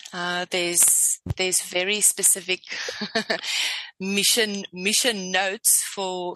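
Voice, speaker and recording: A woman's voice: medium pitch, sharp tone, no room sound, rough recording. A woman from South Africa with a South African accent, a native Afrikaans speaker, talking effusively as a guest on an interview podcast. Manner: effusive, enthusiastic, searching for words. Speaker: spiritual life coach guest.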